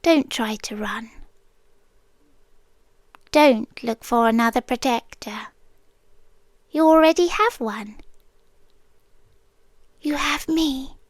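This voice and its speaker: Woman playing a winged yandere monster girl, British accent, very slow, breathy cooing, dry close-mic sound, possessive and dramatic, ASMR roleplay. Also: sits high, no echo. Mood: soothing, possessive. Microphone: close microphone.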